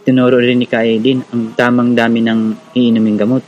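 A man's voice: mid-range voice, flat delivery, moderate pace, highs cut off, lightly acted. An actor playing an earnest young Filipino village activist who narrates his own story in a radio drama. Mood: earnest storytelling. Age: young adult. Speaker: narrator and lead character. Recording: music under the voice.

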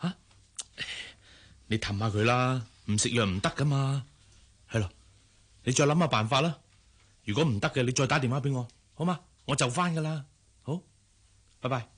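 A man's voice: medium pitch, moderate pace, pauses often, husky, lightly acted. A man with a Hong Kong Cantonese accent acting in a radio drama as a worried, exasperated father and boss who sighs as he talks. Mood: coaxing, worried. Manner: sighing, exasperated.

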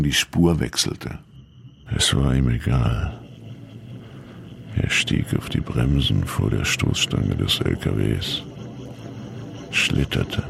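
An actor playing a brooding, detached narrator in a sombre literary radio play, speaking deliberately over music. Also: male voice, a bass voice, gravelly, background noise, exaggerated voice. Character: brooding, detached male narrator of a bleak story. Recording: music behind the voice.

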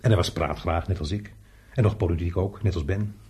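An actor playing a chatty, jovial unemployed handyman in a radio drama, talking colloquially with a raspy, husky voice. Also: deep voice, a little fast, dark tone. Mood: chatty, explaining. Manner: talkative, colloquial, chuckling. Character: chatty, jovial unemployed workshop handyman showing a newcomer around.

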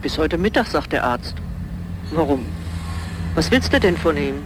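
Guarded middle-aged aunt, lively pitch movement, curt replies, noisy traffic background, radio crime drama. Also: female voice, a little slow. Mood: wary, curt. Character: guarded aunt answering about her sick husband. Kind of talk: crime radio play dialogue.

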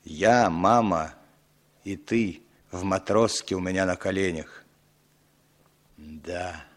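A brooding aging impostor, low voice, slow and sentimental, radio play. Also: male voice. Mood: heavy sentimental. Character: aging male impostor posing as a long-lost brother. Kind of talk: 1960s radio drama acting.

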